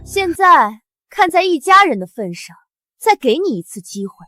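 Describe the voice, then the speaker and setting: A woman's voice: extremely high pitch, sing-song, moderate pace, sharp tone, no room sound, clean studio sound, full character voice. An actress playing an arrogant, cruel pregnant noblewoman who talks down to everyone in a haughty, sneering way. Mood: scornful. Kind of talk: costume drama acting.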